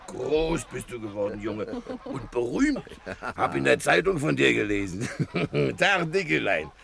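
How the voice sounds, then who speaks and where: An actor playing a jovial, folksy old farmer in a radio play, with a gravelly, exclaiming delivery. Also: male voice, mid-range voice, very animated, a little slow, crowd noise, full character voice. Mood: delighted, fond. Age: older. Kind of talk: 1950s German radio play acting.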